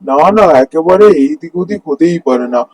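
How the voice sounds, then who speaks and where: A man plays a crafty, greedy street food seller from a cartoon morality tale. His voice sits fairly high for a man, with a stubborn, defensive hawker's patter. The recording is close and dry. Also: animated, moderate pace, a little mellow, dry recording, poor audio. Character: greedy, dishonest male street snack vendor.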